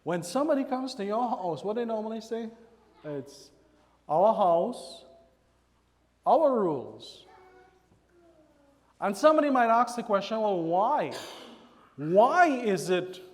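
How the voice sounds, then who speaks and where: A man with a Trinidadian accent preaching a sermon, animated, acting out church members in quoted voices. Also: sits high, slow pace, long pauses, in a large hall. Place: church sanctuary.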